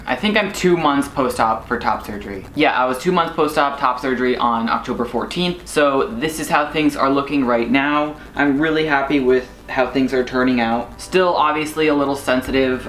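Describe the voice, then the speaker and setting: A man's voice: mid-range voice, fairly bright tone. A young man talks through a casual video diary in a loose, rambling way, full of filler words. His voice carries a noticeable room echo from the camera mic. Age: young adult. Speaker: vlogger.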